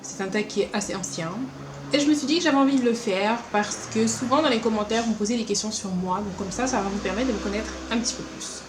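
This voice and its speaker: A young woman with a French Caribbean accent mixed with Paris French, chatting to camera at home in a lively, animated way. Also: a little high, reverberant, noisy background. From France, born in Paris, raised also in Martinique. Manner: chatty, animated, quick asides and acted-out quotes. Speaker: beauty vlogger. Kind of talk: casual chat to camera. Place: room at home.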